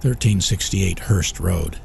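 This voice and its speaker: A man's voice: deep voice, slow, creaky, a little hiss or hum, heavily acted. A man reading a short story as a panicked, desperate small-town store owner, pleading and rattled. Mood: weary, urgent. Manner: frantic shouting, pleading, later shaky and weary. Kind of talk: audiobook character acting.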